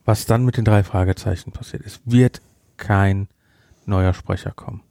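A male podcast host chats through a review in a relaxed, dark voice with plenty of fry. He speaks bluntly and haltingly, and the sound is dry and close. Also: lowish voice, no room sound. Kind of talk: casual review chat.

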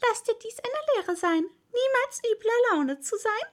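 Woman as old female storybook toad, high-pitched, animated, moralizing, preachy, admonishing. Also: measured pace. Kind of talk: storybook character acting.

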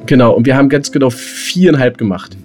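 A man's voice: medium pitch, expressive, fast. Man joking casually over faint guitar music. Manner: colloquial, silly, mock-grumbling. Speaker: podcast co-host.

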